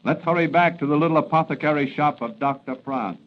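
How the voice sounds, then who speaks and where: A man's voice: a little high, a little fast, close to an everyday voice. An actor as a dramatic male announcer narrating an old-time radio serial. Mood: suspenseful. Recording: dull lo-fi vintage radio recording.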